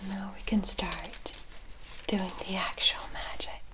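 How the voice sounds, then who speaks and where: A woman playing a kindly, knowing witch in an ASMR roleplay, speaking in a hushed, soothing, breathy whisper. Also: mid-range voice, fairly even intonation, close to an everyday voice. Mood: reverent, instructive. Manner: slow, hushed, soothing, deliberate. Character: kindly forest witch, gentle, knowing healer.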